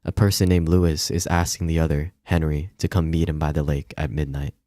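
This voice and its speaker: A man reading a visual novel aloud as dramatic, measured narration. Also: very deep voice, little pitch movement, mellow, no room sound, studio quality.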